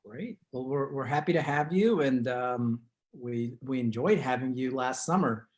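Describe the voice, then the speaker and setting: A man's voice: medium pitch, measured pace, a little mellow. Man hosting formally over a video call. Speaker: host of an online community talk show. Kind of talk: scripted-sounding show introduction and interviewing. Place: living room at home.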